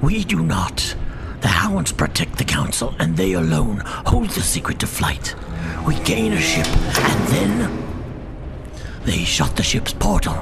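An actor playing a stern, scheming alien gang captain in a pulp sci-fi audio drama, commanding and menacing. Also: male voice, fairly high pitch, wildly swooping pitch, measured pace, vocal fry, over loud music, heavily acted. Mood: urgent, scheming.

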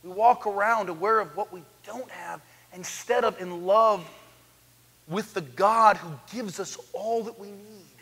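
A man in his thirties preaching an animated sermon on a church stage, swinging from loud to hushed. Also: sits high, unhurried. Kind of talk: lively sermon. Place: church sanctuary, large, indoors. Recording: echoey church hall.

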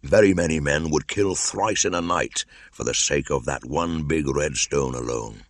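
A man voicing a wise, worldly old male panther, speaking low, slow and measured. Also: no room sound, studio quality. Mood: knowing.

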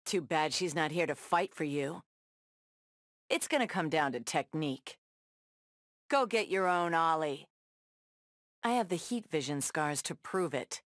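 A woman's voice: sits low, expressive, unhurried, long pauses, no echo, studio quality. A woman playing a tough, sassy superheroine in a video game, trading confident, defiant taunts and wry one-liners. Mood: taunting. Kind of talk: video game battle banter.

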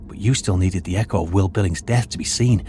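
An actor playing a retired archaeologist turned high school teacher, measured and lecturing in an audio drama. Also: male voice, warm tone, faint music behind, lightly acted. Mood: accusing.

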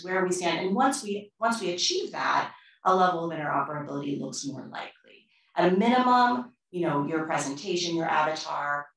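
A woman speaking as a panelist at a conference, thoughtful and emphatic, picked up in a room. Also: low-pitched. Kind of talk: panel discussion. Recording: echoey room from far off.

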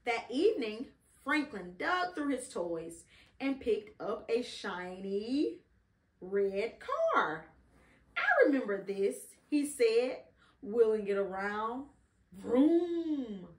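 A middle-aged woman reading a children's story aloud expressively, pausing to prompt and encourage a child. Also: fairly high pitch, sing-song. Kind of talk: children's read-along storytelling. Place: indoor living room beside a Christmas tree. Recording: roomy, echoing home recording.

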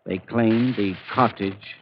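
Avuncular doctor in a muffled old radio play. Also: male voice, on the low side, fairly even intonation, takes it slowly. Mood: measured, knowing. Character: measured, teasing older doctor investigating a haunting. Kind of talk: 1940s radio acting. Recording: muffled, hissy 1940s radio recording.

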